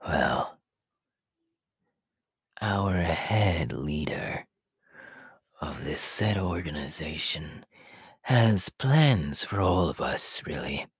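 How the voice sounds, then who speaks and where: A sly, power-hungry immortal villain for an ASMR roleplay. His voice is extremely husky and breathy, slipping into a hissing whisper. He draws his words out very slowly with menacing politeness, close and dry on the mic. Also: extremely low pitch, lively intonation, no echo, heavily acted. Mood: conspiratorial. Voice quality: raspy, breathy, half-whispered hiss. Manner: slow, drawn-out, menacingly polite. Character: sinister, power-hungry immortal male body-stealer, sly and scheming. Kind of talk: ASMR character roleplay monologue.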